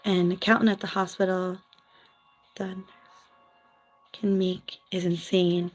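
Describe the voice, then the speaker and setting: A woman's voice: on the low side, little pitch movement, faint music behind. Chatty Philadelphia-accented woman. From US East Coast, New Jersey. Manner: chatty, frank, quick asides with laughs. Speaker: vlogger, former nurse. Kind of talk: casual livestream chat reading viewer comments.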